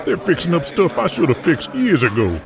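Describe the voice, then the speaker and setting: Casting: pleading, hurt old-hand wrestler, lively swooping voice, crowd and music underneath, audio drama. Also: male voice, a little high, fairly quick. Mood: earnest, defensive. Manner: earnest, pleading. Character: pleading injured veteran male wrestler.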